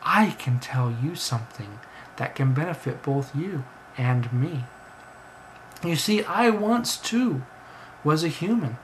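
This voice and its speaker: A man's voice: fairly high pitch, expressive, takes it slowly, a little hiss or hum, rough recording. A man voicing an insistent, earnest talking bird in a storybook tale, scolding and grand in manner, in an amateur recording. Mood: earnest, pleading. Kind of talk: storybook character voice.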